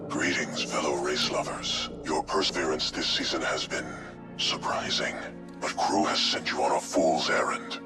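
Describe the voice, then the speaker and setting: An actor playing a calm, menacing crime lord in a video game, speaking in a measured, breathy way. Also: male voice, extremely low pitch, over loud music. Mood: calm threat. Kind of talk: video game cutscene acting, recorded message.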